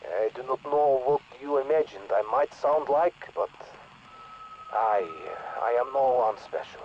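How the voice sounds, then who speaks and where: A slow, earnest Russian radio operator. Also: male voice, medium pitch. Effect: radio transmission. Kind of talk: video game audio log. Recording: muffled radio transmission with static.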